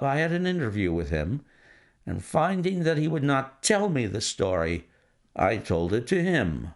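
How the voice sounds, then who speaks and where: A man voicing a calm, stern male detective who speaks in a measured, authoritative way. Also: mid-range voice, vocal fry.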